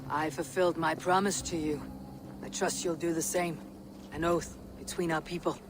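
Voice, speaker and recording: A man's voice: on the high side, level tone, normal speed, background noise, close to an everyday voice. A man playing a resolute, honourable Viking warrior leader in a video game, commanding and solemn. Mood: solemn, measured. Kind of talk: dramatic video game acting.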